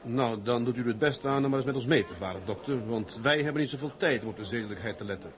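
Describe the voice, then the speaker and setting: An actor playing a polite, down-to-earth first mate in a period drama, matter-of-fact and dryly courteous. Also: male voice, medium pitch, fast talker, close to an everyday voice. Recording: party crowd chatter behind.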